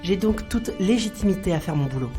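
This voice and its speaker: A woman's voice: on the low side, expressive, brisk, over music, close to an everyday voice. An actress playing a self-assured, composed woman profiler in a French audio drama, formal and assertive.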